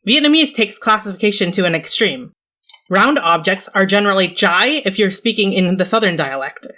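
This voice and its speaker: A woman's voice: medium pitch, a bit bright. Reflective young woman narrating an essay. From Orange County, California. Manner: reflective, measured, wry. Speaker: video essayist. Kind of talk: scripted personal essay narration.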